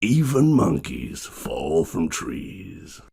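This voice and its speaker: A man playing a menacing, coldly mocking game monster who speaks slowly in sententious, ominous lines with a gravelly voice. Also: deep voice, huge pitch swings, over music, heavily acted. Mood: cold mockery. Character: menacing proverb-quoting male monster, slow and coldly mocking. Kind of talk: video game villain monologue.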